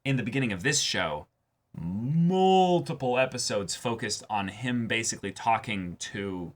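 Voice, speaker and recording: A man's voice: lowish voice, lively intonation, a little slow. A man in a casual discussion as co-host of a reaction show, speaking emphatically and repeating phrases as he builds his point.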